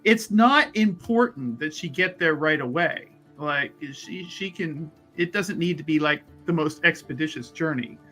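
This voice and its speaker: A man's voice: a little high, lively intonation, a little slow, hardly any pauses, sharp tone, faint music behind. A man chatting casually as a player in a tabletop roleplaying game. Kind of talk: casual actual-play game chat.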